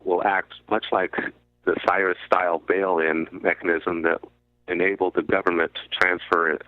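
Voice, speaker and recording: A man speaking as a radio guest, explaining in deliberate, halting short phrases over a narrow call-in line. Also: low voice, level tone, no echo. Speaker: guest commentator on a radio show. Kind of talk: explanatory talk about finance. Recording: muffled narrow phone-like line.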